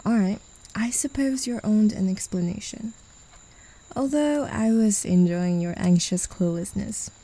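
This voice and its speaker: A woman voicing a possessive, mocking demon in an ASMR roleplay, speaking in a condescending, teasing way with soft whispers. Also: medium pitch, measured pace, rough recording. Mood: taunting. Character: mocking, possessive demon who claims a human as a pet.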